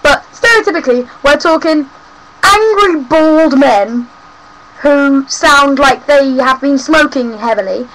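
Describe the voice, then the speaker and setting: A young woman with a London accent talks straight to camera at home, chatty and cheeky, drifting from rant to rant. Her voice sits high and sounds bright and crisp. A faint hiss runs under the recording. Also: a little slow, rough recording. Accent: London English. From South West London, England. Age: young adult. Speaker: vlogger. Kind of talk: casual rambling vlog. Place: home room.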